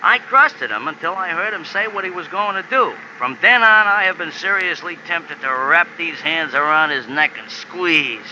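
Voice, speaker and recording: An actor playing a small, excitable male jockey in a 1950s radio drama, talking fast, wordy and emphatic. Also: on the high side, a little hiss or hum. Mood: earnest. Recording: lo-fi old radio broadcast.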